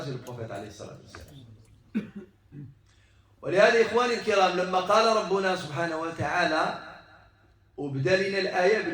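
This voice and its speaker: A man gives a mosque sermon in a fairly high voice. He is heard in a very roomy, echoing space, a little away from the microphone. His delivery is emphatic and rhetorical, leaning on repetition to drive each point home. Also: fairly bright tone. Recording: roomy echo, distant microphone.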